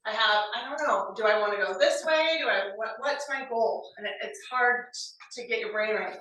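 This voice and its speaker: Woman in her 40s, bright and chatty trainer, keeps asking "right?", recorded from far off in a very echoey meeting room. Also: a little high, moderate pace. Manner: lively, conversational, keeps checking in with "right?". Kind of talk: motivational training talk. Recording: very echoey room, mic far off, faint noise.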